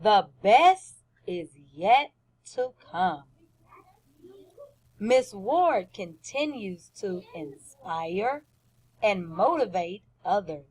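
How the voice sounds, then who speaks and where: Slow-paced woman teacher reading aloud. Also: medium pitch, rough recording. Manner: deliberate, emphatic, questioning children and pausing for answers. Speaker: first grade teacher. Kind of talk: read-aloud lesson for young children.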